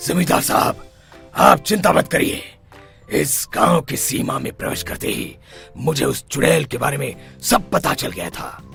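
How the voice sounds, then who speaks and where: Commanding cartoon exorcist, played by a man: grave, creaky, very animated intonation. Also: a little high, measured pace, faint music behind, heavily acted. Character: renowned village exorcist mystic, grave and commanding. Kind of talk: cartoon moral-story voice acting.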